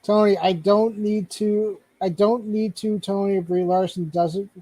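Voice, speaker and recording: Male streamer host, high voice, dry close sound, jokey and quick to laugh. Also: fairly even intonation, warm tone, dry recording, low-quality recording. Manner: joking, laughs often, stumbles and restarts. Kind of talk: casual livestream chat.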